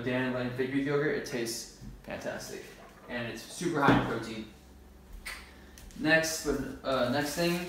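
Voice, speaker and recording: A man of about twenty talking in a laid-back, informal, slangy way, like a casual vlogger. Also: mid-range voice. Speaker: fitness vlogger. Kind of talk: casual grocery haul vlog. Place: bare-walled room indoors. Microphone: camera a few metres away. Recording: echoey room, distant mic, faint noise.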